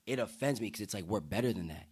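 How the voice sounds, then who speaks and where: A deep-voiced young man from the United States speaking earnestly and emphatically in casual, slang-heavy conversation as a podcast guest. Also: animated, quick pace, darkish tone, husky.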